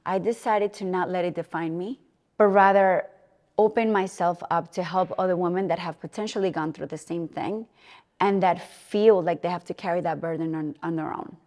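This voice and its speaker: A woman's voice: on the low side, steady tone, moderate pace, darkish tone, in a large hall. A woman from Colombia, speaking earnestly and reflectively as an interview guest. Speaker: interview guest, fitness coach and gym owner. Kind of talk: personal interview conversation.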